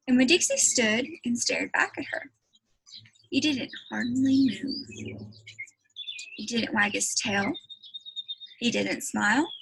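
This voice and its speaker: A woman's voice: high voice, gravelly, bright, forceful tone, a little hiss or hum, low-quality recording. A woman reading a children's book aloud as a teacher would, telling the story as narrator.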